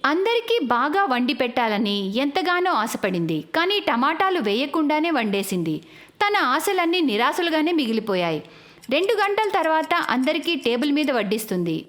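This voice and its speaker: A woman narrating a cartoon moral story in a brisk, explanatory storytelling manner. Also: high voice, sing-song, a bit bright.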